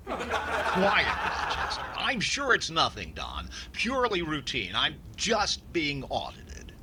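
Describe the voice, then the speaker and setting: Man playing a fussy radio comedian with swooping pitch. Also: wildly swooping pitch, takes it slowly, full character voice. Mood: defensive. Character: fussy, stingy middle-aged male radio comedian, flustered and defensive. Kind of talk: 1940s radio comedy acting. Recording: noisy radio play recording.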